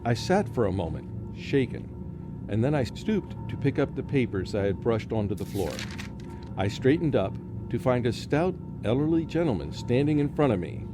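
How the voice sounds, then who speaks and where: A man's voice: mid-range voice, big pitch swings, normal speed, over music, close to an everyday voice. A man playing a nervous, haunted scholar who narrates a radio drama in dramatic storytelling style. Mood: uneasy, suspenseful. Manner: dramatic first-person storytelling. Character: haunted, nervous male scholar recounting his ordeal.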